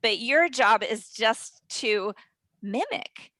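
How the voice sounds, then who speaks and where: A woman, a voice and dialect coach, explaining her method as a guest on a webinar, in animated, rapid talk with quick asides. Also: a little high, dry recording. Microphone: webinar call mic.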